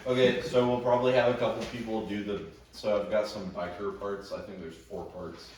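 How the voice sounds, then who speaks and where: A man's voice: lowish voice, little pitch movement, brisk, darkish tone, slight background noise. Echoey, distant young man organizing a group. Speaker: writer leading a script table read. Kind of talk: casual group instruction. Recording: echoey room, far mic, group chatter.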